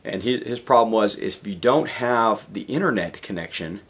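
A hoarse man in his forties speaks in a fairly low voice, worn by a cold. He rambles casually with plenty of fillers, recorded on a camera mic in a home garage. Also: expressive, moderate pace. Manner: rambling, chatty, lots of fillers and restarts. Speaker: DJ vlogger. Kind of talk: casual video update. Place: home garage workshop, indoors.

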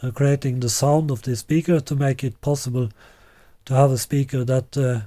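A man in his sixties presenting a product in an unscripted way, halting and deliberate with long hesitations. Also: mid-range voice, steady tone, dark tone, no echo. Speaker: loudspeaker designer presenting a product. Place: small living room, indoors.

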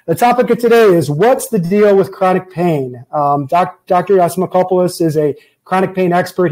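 A man's voice: sits high, dark tone, rough recording. A man in his forties hosting a podcast as a chiropractor, chatting upbeat and jokey over a video call with an earbud mic. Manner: upbeat, jokey, reads intro lists. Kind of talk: casual interview chat. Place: office with framed diplomas, indoors. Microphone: earbud headset on a video call.

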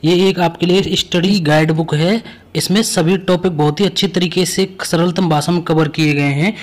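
A man's voice: a little high, steady tone, normal speed. A man giving a scripted promotional narration, presenting a product to students.

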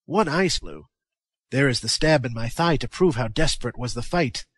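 A man voicing a fierce, wounded warrior, loud and fervent in a declamatory way, raised in pitch above his narrating voice. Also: lively intonation, unhurried, no room sound. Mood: exultant. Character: fierce wounded male warrior, exultant and vengeful.

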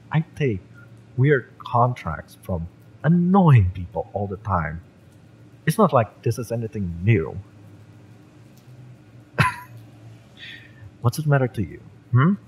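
A man's voice: lowish voice, slight background noise, low-quality recording. A man playing a fiery, bitter young mercenary in an audio roleplay, sardonic and taunting, with sighs and swearing. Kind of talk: romance audio roleplay acting, addressed to the listener.

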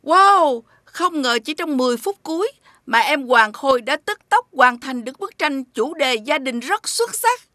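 An actress playing a kindly but firm teacher in a children's cartoon, announcing in an instructive way. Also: female voice, sits high, lively intonation, unhurried, sharp tone, heavily acted. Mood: upbeat.

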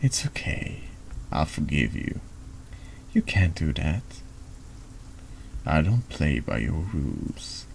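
A man voicing a calm, mysterious male stranger in a confessional for a horror text game, terse, solemn and forgiving. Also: a bass voice, slow, gravelly, long pauses, slight background noise, full character voice.

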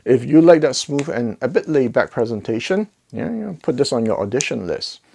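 A man's voice: mid-range voice, lively intonation, normal speed, gravelly, dark tone, slightly rough. Middle-aged man giving a casual gear review. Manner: conversational, emphatic, repeats words for emphasis. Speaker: host of an audio gear review channel. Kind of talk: casual product review to camera. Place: living room listening space with acoustic wall panels. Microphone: clip-on lapel mic.

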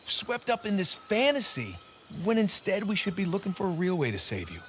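An American actor playing a wry, skeptical middle-aged adventurer, the younger brother, in naturalistic video game dialogue. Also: male voice, sits high, huge pitch swings, a little fast, a bit bright, a little hiss or hum, heavily acted. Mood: exasperated, doubtful. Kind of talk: naturalistic cinematic game acting.

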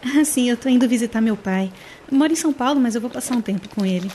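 High, quick-talking Brazilian young woman acted by a woman. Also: warm tone, close to an everyday voice. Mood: curious. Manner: apologetic, curious, talkative. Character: friendly, chatty young female train passenger. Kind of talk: naturalistic audio drama acting. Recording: faint background ambience noise.

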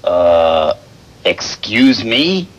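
A man's voice: lowish voice, sing-song, drawn-out words, highs cut off, full character voice. A man voicing a shy, good-natured male lizard who stammers and hesitates. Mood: startled.

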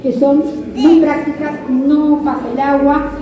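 A middle-aged Argentine woman with a high voice teaches a live audience through a PA. She talks conversationally and keeps tagging her points with little questions. The hall is very echoey and she sounds far from the recorder, with people murmuring around her. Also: level tone, unhurried, dull audio, crowd noise. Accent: Argentine Rioplatense. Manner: conversational, checking in with listeners using question tags. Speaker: kayak fishing safety instructor. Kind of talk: live instructional talk to an audience. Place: large hard-floored club hall with seated audience.

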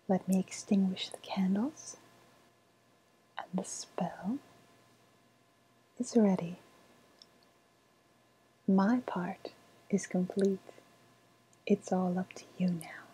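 A woman playing a sly, cooing woodland witch with a faint menace, in a breathy, softly spoken ASMR roleplay whisper. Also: lowish voice, steady tone, heavily acted, low-quality recording. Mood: savouring, confiding. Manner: slow, savouring, coaxing delivery.

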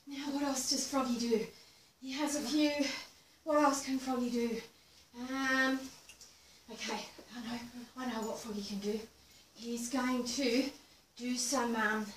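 A woman's voice: fairly high pitch, fairly even intonation, slight background noise. A middle-aged woman leading children's movement exercises, telling a playful story, filmed by a far camera in a small room. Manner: playful storytelling, slow and drawn-out. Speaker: movement teacher. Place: small furnished room indoors. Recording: roomy sound from a distant camera.

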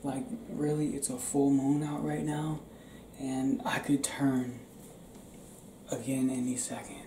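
Young guy doing asmr werewolf boyfriend thing, slow n hushed, halting, echoey room w/ noticeable noise. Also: mid-range voice, little pitch movement. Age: young adult. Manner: hushed, often whispered, halting, intimate. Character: young male werewolf confiding in his partner, restless, tender. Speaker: ASMR roleplay performer. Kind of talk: ASMR roleplay, improvised intimate acting. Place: small indoor room. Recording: roomy echo, clear background noise.

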